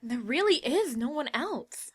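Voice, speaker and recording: A woman playing a bossy, confident, energetic teenage schoolgirl in an audio drama, brisk and self-assured. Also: sits high. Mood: puzzled, grumbling. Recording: loud music under the voice.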